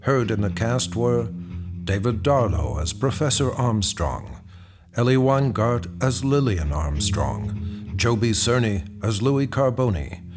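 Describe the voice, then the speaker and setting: A man announcing a radio drama program in a measured, formal delivery. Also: medium pitch, lively intonation, a little mellow. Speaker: host and announcer. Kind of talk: scripted announcing. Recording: music behind.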